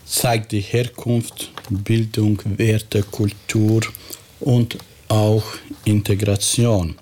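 A man in his fifties with an Albanian accent, a teacher answering interview questions and pausing to search for words. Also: lowish voice, little pitch movement, dark tone. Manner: halting, searching for words, with self-corrections. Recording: faint background noise.

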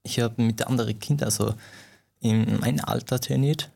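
A young man from Iran, a martial arts trainer speaking haltingly as a podcast guest, often searching for words. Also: mid-range voice, steady tone, vocal fry, darkish tone. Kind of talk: podcast interview.

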